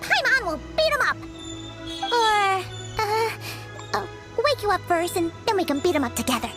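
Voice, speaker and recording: An excitable, caring little fairy girl in an anime-style game. The voice is extremely high and squeaky, with lively swings in pitch and full of exclamations. Prominent music plays behind it. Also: normal speed. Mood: determined. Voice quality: squeaky, childlike. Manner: exclamatory, refers to self in third person. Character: small excitable floating fairy companion girl, childlike and caring.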